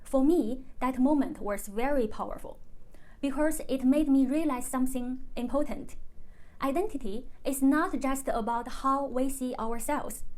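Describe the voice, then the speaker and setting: A woman from China whose first language is Chinese, speaking in English in a calm, measured, carefully scripted monologue as a vlogger. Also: high-pitched. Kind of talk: reflective personal monologue.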